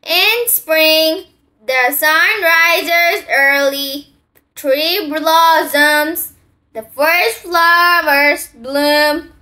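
Casting: child storytime host, very high bright voice, extremely unhurried picture-book read-aloud. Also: fairly even intonation, low-quality recording.

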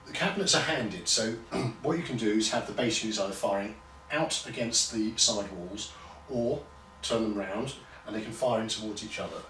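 A middle-aged English man with a fairly low voice explains products in measured phrases. He is recorded in a roomy indoor showroom, well away from the camera's microphone, with noticeable noise behind him. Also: a bit bright. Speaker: hi-fi shop presenter. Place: small indoor showroom with bare walls. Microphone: camera a few metres away. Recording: roomy, distant mic, clear background noise.

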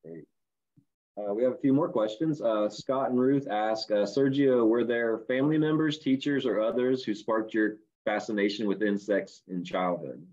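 Man, middle-aged, moderator, reads questions plainly, low mellow voice, video call from office, dull lo-fi audio. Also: moderate pace. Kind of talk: reading audience questions. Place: office room. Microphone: laptop webcam mic on video call.

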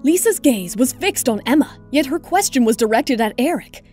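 A woman narrates a scripted audio drama. Her voice is quite high yet mellow and rounded, with light music beneath the storytelling. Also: animated, moderate pace.

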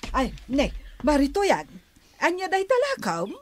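A woman's voice: high-pitched, huge pitch swings, a little slow, slight background noise, full character voice. A woman playing a bossy village wife in an Ilocano comedy radio drama, with a drawling, exasperated manner.

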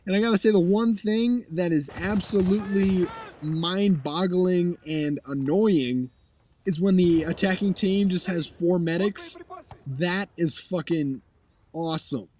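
A hoarse young man commenting on his own gameplay footage, blunt and sarcastic, swearing now and then. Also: sits high, a little slow, a little mellow, a little hiss or hum. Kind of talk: casual game commentary over footage.

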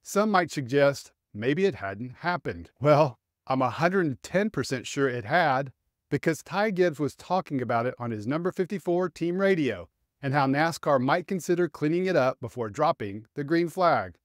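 Higher-voiced middle-aged man, dry studio sound. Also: expressive, normal speed, no echo. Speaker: motorsport commentator and host. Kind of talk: scripted opinion commentary to camera. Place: small home studio room. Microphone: close studio microphone on stand.

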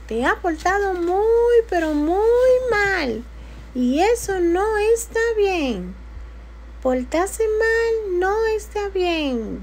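A woman's voice: very high-pitched, a little mellow, heavily acted. A woman voicing a small childlike cartoon character who lectures young children in a sing-song, admonishing way on a pretend phone call. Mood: gently scolding. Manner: sing-song, admonishing, addressing a little friend.

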